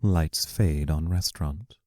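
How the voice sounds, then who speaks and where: A man's voice: very deep voice, slow pace, a little mellow, dry recording, clean studio sound. A man reading stage directions as the scripted narration of an audio play.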